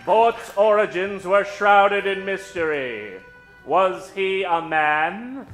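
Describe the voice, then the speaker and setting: Slow, theatrical male radio show host. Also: high-pitched, animated, fairly bright tone, a faint crowd. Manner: theatrical, mock-ominous, dramatic pauses. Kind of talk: old-time radio horror spoof announcing and storytelling. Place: theater stage before an audience. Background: live keyboard music.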